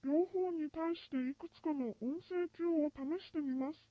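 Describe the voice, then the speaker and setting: A woman's voice: high-pitched, quick pace, dry recording, close to an everyday voice. A woman playing a formal researcher giving a scripted report on a horror tape, with dull lo-fi sound. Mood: formal, detached. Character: formal female researcher reporting on a captured monster. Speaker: narrator of a found research tape.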